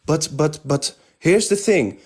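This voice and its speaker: A man's voice: a little high, lively intonation, takes it slowly, darkish tone. Stammering man in his thirties. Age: 30s. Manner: stammering, repeats words when pressed. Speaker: debate participant. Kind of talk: heated debate.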